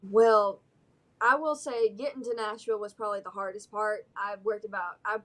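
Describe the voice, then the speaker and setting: A woman's voice: medium pitch, steady tone, moderate pace, a bit bright. A young woman with a Southern American drawl, from Alabama, talks through an interview in a bubbly, easygoing way. She giggles often and leans on "um" and "like" as she goes. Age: young adult. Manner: bubbly, giggly, many fillers. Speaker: singer-songwriter guest. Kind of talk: casual interview chat.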